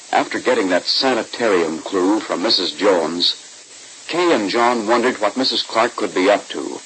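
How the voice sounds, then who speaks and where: Animated old-time radio announcer man. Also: medium pitch, slight background noise, rough recording. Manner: polished, emphatic, salesmanlike. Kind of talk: 1940s radio scripted narration and ad read.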